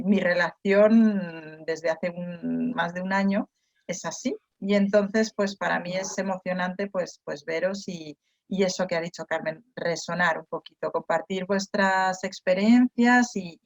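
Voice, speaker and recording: A woman hosting a group talk on a video call, halting with many fillers and restarts. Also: mid-range voice, dull audio. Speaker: host, moderator. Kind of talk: casual introduction of a group talk.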